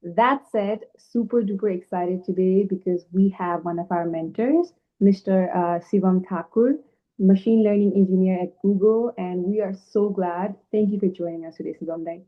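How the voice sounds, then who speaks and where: A woman's voice: mid-range voice, moderate pace. A woman hosting an interview over a video call, bubbly and enthusiastic, with frequent fillers. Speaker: host. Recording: tinny phone-like call line.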